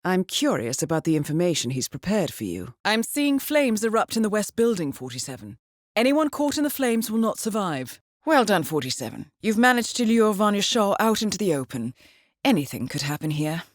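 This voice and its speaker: An actress playing a composed, articulate intelligence handler in a video game, briefing an assassin in a measured, precise, formal way. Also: female voice, medium pitch, sing-song, no echo, clean studio sound, natural voice. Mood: composed, alert. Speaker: mission handler.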